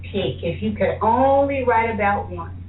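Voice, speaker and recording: A woman of medium pitch asks questions as an interview co-host. She speaks conversationally. She sounds far from the mic and strongly echoey, through a thin phone connection. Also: expressive, unhurried, slight background noise. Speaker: radio show co-host asking questions. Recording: echoey, distant, tinny phone line.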